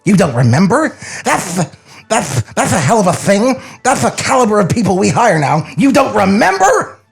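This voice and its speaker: A man playing a gruff, sarcastic, exasperated studio boss in a comedy table read, blunt and scolding. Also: high-pitched, very animated, normal speed, faint music behind, exaggerated voice.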